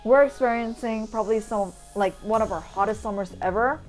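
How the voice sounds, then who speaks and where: A woman's voice: a little high, a little fast, low-quality recording. A woman from Indonesia giving a casual, rambling voice-over tour in an informal, chatty way, as a vlogger does. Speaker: vlogger, urban sketcher. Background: background music.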